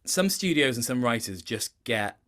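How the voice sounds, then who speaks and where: A man's voice: mid-range voice, very animated, normal speed, a bit bright. Male interviewee, casual and reflective, thoughtful delivery that doubles back while finding the right words. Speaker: interview guest, voice actor.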